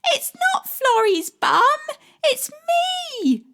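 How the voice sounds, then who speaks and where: A woman voicing a shy but excited little boy in a storybook reading, blunt and eager. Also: very high-pitched, very slow, pitched above the performer's own voice, heavily acted. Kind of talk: audiobook character voice.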